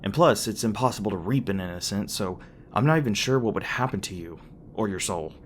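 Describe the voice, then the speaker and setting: Male actor as young demon boyfriend, low voice, casual joking delivery, hesitant asides, affectionate ASMR roleplay, noisy ambient backdrop. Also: expressive, fairly quick, close to an everyday voice. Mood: earnest, explaining.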